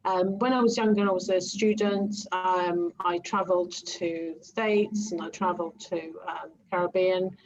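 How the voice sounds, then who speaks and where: A woman's voice: lowish voice, steady tone, normal speed, hardly any pauses, muffled recording, slight background noise. A Greek-born woman, a native Greek speaker, answering interview questions as a guest coach, with many ums and restarts. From Greece. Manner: hesitant, many ums and restarts, light laughs.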